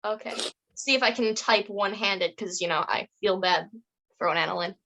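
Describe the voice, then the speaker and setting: A woman's voice: medium pitch, normal speed, sharp tone. A woman co-hosting a podcast, chatty and giggly as she asks a guest questions. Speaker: podcast co-host. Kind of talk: casual interview chat. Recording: dull lo-fi audio.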